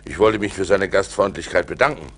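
An actor playing an adventurous seafarer who recounts his ordeals theatrically in a German radio play, moving between hushed and forceful delivery. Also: low voice, fairly quick. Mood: vivid storytelling. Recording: noisy vinyl record transfer.

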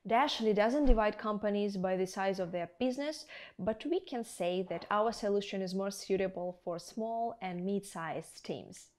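Young woman, medium-pitched voice, video presenter, scripted promotional delivery, speaks straight to viewers. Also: moderate pace, darkish tone. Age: young adult. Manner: addresses viewers directly, rhetorical questions. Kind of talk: scripted promotional product review. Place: indoor studio with plain backdrop.

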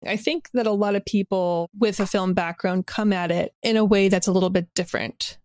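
A woman's voice: mid-range voice, steady tone, a little fast, darkish tone. A woman in her thirties or older talking casually as a podcast guest, in an informal, rambling and self-deprecating way. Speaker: podcast guest, audio drama creator. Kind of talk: casual interview conversation.

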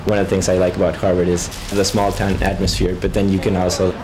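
Deep, monotone young man, talking fast. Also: a little hiss or hum. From Mexico City, Mexico. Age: young adult. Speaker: college student. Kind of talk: interview testimonial.

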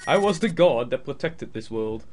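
A man's voice: mid-range voice, lively intonation, moderate pace, lightly acted. Mysterious hidden male god in a game temple, a Dutch man reading the lines, plain middling voice. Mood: solemn. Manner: reading on-screen dialogue aloud in a slightly raised voice. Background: video game music.

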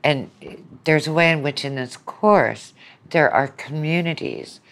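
Very low, creaky-voiced woman lecturer. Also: a little slow, a bit bright. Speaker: university lecturer, podcast guest. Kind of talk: conversational explaining.

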